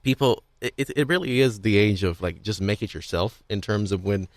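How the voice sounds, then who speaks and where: Male podcast host with a low voice and dry, close sound; conversational, loosely wandering phrasing. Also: brisk, no room sound. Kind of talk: casual podcast discussion.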